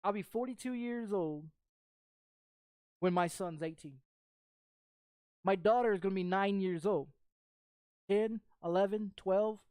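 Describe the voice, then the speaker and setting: A woman's voice: medium pitch, darkish tone, no echo, clean studio sound. A woman in her thirties from Texas chatting casually as a streamer, blunt and sweary, circling back over the same phrases. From Texas, United States. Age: 30s. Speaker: game streamer. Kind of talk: casual rambling chat.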